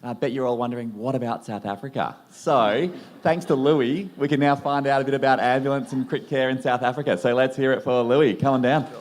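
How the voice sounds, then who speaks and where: A man's voice: mid-range voice, steady tone, a little fast, hardly any pauses, slight background noise. A man hosting a conference session, thanking one speaker and casually introducing the next. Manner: casual and friendly. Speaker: session host.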